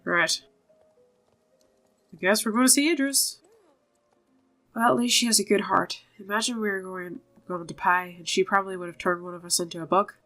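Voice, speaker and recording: Female gaming YouTuber, medium pitch, playthrough commentary and read-aloud dialogue, faint background music. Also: fairly even intonation, normal speed, long pauses, a bit bright. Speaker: video game YouTuber.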